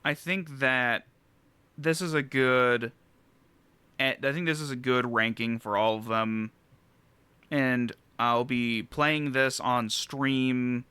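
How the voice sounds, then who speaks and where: A man giving casual, unscripted game commentary as a reviewer, rambling and halting as he thinks aloud and swearing casually. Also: mid-range voice, pushed and bright, clean tone, dry recording. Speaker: video game reviewer and streamer.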